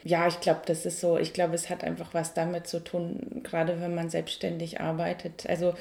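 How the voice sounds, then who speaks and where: A Franconian woman in her thirties answers interview questions with a mellow, somewhat low voice. There is a gravelly fry in her tone, and she searches for words, with fillers and restarts. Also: completely flat delivery, moderate pace. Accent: Franconian German. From Franconia, Germany. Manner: thoughtful, hesitant, many fillers and restarts. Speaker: cabaret artist, guest.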